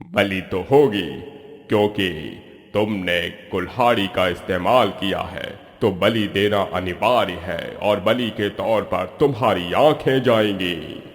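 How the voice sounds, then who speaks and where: A man voicing a stern, punishing supernatural sky voice with slow, deliberate pronouncements in a cartoon story. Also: sits low, in a large hall. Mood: stern, menacing.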